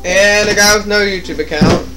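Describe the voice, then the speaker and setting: High-voiced sarcastic man, slightly distant. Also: fairly even intonation, normal speed. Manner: sarcastic, joking. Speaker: let's play co-commentator. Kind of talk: casual banter over gameplay. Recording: off-mic, game music behind. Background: video game music.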